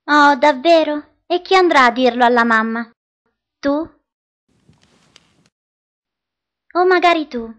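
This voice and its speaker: A woman plays a young girl with a high voice in a dubbed film drama. She is stubborn and insistent, an older sister who states things flatly and likes to needle. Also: moderate pace. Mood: mocking. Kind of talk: dubbed film acting in Italian fandub.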